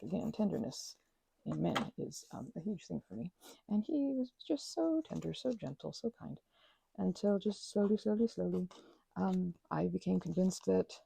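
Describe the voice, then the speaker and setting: A woman in her thirties chatting in a soft, rambling way, full of fillers and self-corrections, as an ASMR host. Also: medium pitch, expressive, normal speed, gravelly, breathy edge, dry recording, poor audio. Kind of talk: rambling personal chat. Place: quiet room at a craft table.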